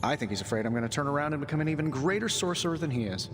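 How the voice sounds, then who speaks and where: A man performs a cocky genius inventor from a superhero video game. His voice is fairly high and very animated, full of glib, teasing sarcasm. It rings in a long reverb. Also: rapid-fire, soft background music, lightly acted. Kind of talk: video game cutscene acting.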